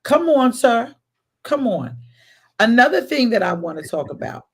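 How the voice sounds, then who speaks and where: A woman's voice: on the high side, sing-song, unhurried, slightly rough. A woman pastor hosting a casual conversation, affirming her guest and giving blunt opinions. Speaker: pastor and host. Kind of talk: casual chat.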